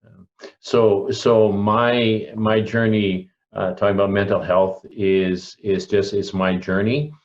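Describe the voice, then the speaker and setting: A Canadian man in his early sixties giving personal testimony on a panel, reflective and deliberate, repeating himself for emphasis. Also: lowish voice, flat delivery, lo-fi sound. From rural Alberta, Canada. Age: early 60s. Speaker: panelist, local pastor.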